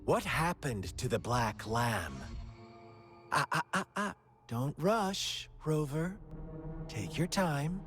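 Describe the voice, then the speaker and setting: An actor playing a sinister, taunting game villain who tells a twisted fairy tale in a theatrical, drawn-out manner. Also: male voice, on the high side, big pitch swings, a bit bright, heavily acted. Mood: taunting, probing. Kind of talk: video game character acting, storytelling monologue. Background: background music.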